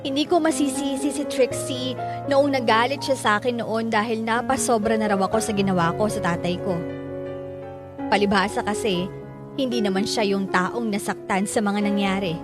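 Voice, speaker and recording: A woman's voice: a little high, fairly even intonation, moderate pace, loud music behind the voice. A woman with a Filipino accent giving dramatic first-person narration for a radio drama.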